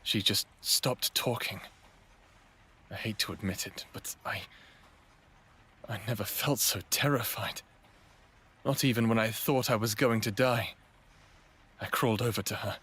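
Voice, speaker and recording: A man acting a wounded, sardonic human in an audio drama, narrating and speaking with a raspy, emotional, self-deprecating delivery. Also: on the low side, a little slow, pauses often, sharp tone, heavily acted. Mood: guilty, shaken. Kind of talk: first-person audio drama narration and dialogue.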